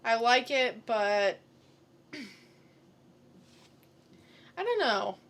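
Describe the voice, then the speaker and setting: Slow-talking woman, bright voice, casual podcast chat. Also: fairly high pitch, lively intonation. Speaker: podcast co-host. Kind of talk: casual comic book chat.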